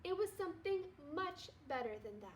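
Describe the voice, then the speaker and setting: Casting: female second grade teacher, high-pitched, storybook read-aloud with noticeable background noise. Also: big pitch swings, normal speed.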